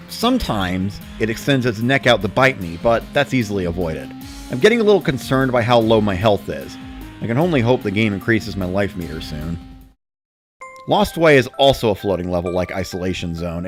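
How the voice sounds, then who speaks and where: A man reads a scripted first-person gaming story as its narrator. His voice sits fairly low and moves through a lively range of pitch. Music plays behind him. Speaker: narrator of a dramatic reading.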